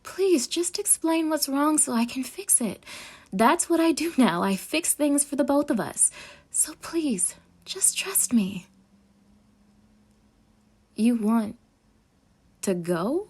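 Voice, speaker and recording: A woman playing a sweetly possessive, obsessed girlfriend in an intimate roleplay audio, coaxing and dramatic with breathy asides. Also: high-pitched, big pitch swings, takes it slowly, long pauses, darkish tone, exaggerated voice. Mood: insistent, doting. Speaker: ASMR voice actor.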